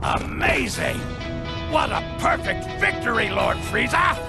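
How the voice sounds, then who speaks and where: A man voicing a fawning, excitable alien henchman in an anime game, gushing and bombastic. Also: high-pitched, unhurried, vocal fry, exaggerated voice. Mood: awestruck. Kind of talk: anime video game dub acting. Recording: loud music under game dialogue.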